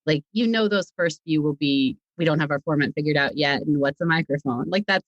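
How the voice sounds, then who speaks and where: A podcast guest, a writer and director, telling stories in a chatty, animated way in a casual interview. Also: mid-range voice, fairly quick, dry recording, highs cut off.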